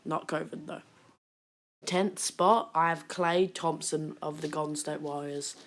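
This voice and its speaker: A woman hosts a casual basketball ranking, her voice sitting low and sounding croaky from a sore throat. She talks in a hesitant way, stopping and restarting sentences with plenty of "uh". The delivery is that of an informal fan talking straight to her viewers. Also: steady tone, unhurried. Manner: hesitant, many restarts and fillers. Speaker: basketball channel host. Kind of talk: casual ranking commentary to camera.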